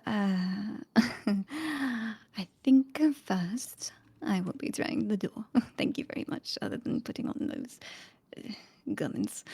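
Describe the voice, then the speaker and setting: A woman puts on a haughty, fancy lady for tabletop roleplay. The voice is mellow and breathy, often near a hush. She speaks slowly and hesitantly, with dry condescension. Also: mid-range voice. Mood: condescending. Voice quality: breathy, hushed. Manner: hesitant, condescending, breaks into small laughs.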